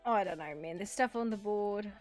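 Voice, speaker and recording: A woman's voice: mid-range voice, fairly quick, a little mellow, faint music behind. A woman playing a tabletop role-playing game, chatting casually in character, playful and swearing freely.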